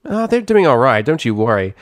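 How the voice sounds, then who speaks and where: A man's voice: medium pitch, sing-song, fairly quick, no echo, studio quality, pitched above the performer's own voice, natural voice. A man voicing a brash, irritable young female pegasus pony from a cartoon story, with a cracking voice and slangy, blustering delivery. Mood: cocky, scolding. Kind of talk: animated character voice in a story reading.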